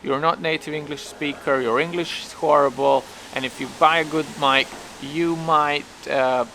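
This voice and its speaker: Bright-voiced Serbian-accented man thinking aloud. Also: fairly high pitch, steady tone, moderate pace, slight background noise, poor audio. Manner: hesitant, self-questioning, restarts often. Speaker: vlogger. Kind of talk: casual thinking-aloud vlog. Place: outdoors waiting for a taxi.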